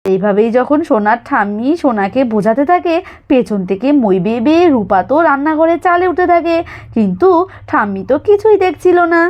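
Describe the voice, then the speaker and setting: A woman's voice: sits high, expressive, a little fast, lo-fi sound. A woman narrator reading scripted narration for a children's story.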